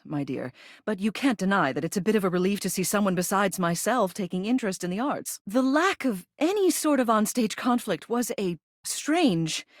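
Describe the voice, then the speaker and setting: A woman's voice: medium pitch, no echo, studio quality. A woman voicing a video game character, a living ceiling who is a haughty yet fond arts critic, speaking in an arch, theatrical manner. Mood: dry, haughty. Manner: theatrical, arch, drawling emphasis.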